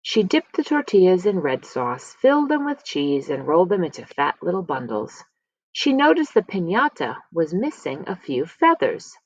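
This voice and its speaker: A woman storyteller reads a children's picture book in a highly animated voice that rises and falls widely. She sits a little low in pitch and leans on words with dramatic pauses. The recording is dry and slightly dull. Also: no echo. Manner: expressive, dramatic pauses and emphasis. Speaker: read-aloud storyteller.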